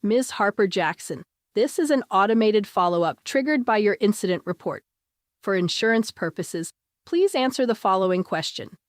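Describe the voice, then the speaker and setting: A woman plays an officious automated machine in a science fiction audiobook. It speaks formally and impersonally at a middle pitch, relentlessly bureaucratic. The recording is very dry. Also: expressive, normal speed, no echo, lightly acted. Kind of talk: audiobook character voice.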